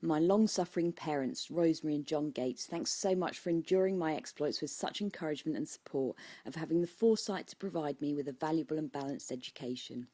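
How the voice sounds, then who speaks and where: A woman's voice: low voice, fairly quick, few pauses, mellow, dry recording. A woman with a British accent reading her own book aloud as scripted audiobook narration.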